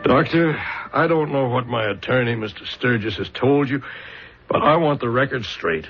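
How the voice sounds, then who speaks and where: An actor playing a desperate middle-aged man, agitated and pleading his innocence in a radio drama, with a raspy, strained voice. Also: mid-range voice, moderate pace, slight background noise, close to an everyday voice. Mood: bewildered, earnest. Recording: muffled old radio drama recording.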